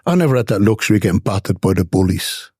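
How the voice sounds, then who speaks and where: An older former boxer from Dublin with an Irish accent, telling stories emphatically in an interview. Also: male voice, sits low, animated, racing, a little mellow, breathy edge, dry recording, studio quality. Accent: Dublin Irish with English traces. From Ireland. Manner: emphatic, repetitive storytelling.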